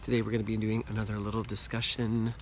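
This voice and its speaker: A man hosting his own channel, talking casually to camera with a slightly hoarse voice from a cold, explaining things in a relaxed, rambling way. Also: fairly low pitch, quick pace, a little hiss or hum. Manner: relaxed, rambling explanation with frequent "you know" and "like". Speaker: yo-yo channel host.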